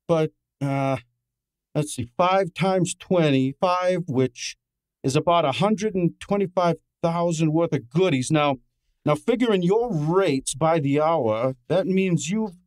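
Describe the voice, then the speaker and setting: A man plays a cheeky, loyal sidekick in a radio detective show. The voice is fairly high for a man, slangy and wisecracking, taking its time in a dry studio sound. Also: darkish tone, dry recording. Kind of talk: old-time radio detective drama acting.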